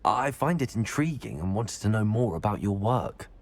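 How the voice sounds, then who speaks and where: Polite young male student, husky. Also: lowish voice, expressive, normal speed. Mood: polite, curious. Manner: deferential, courteous. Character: polite, curious young wizarding school student. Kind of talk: scripted game dialogue.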